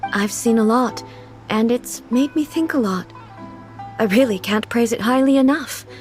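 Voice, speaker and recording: With music underneath, a dignified immortal female ruler speaks, acted by a woman for an anime game. Her voice is fairly high, and her delivery is formal, measured, solemn and contemplative. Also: lightly acted. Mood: sincere, grateful. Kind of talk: anime video game voice acting. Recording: music behind the voice.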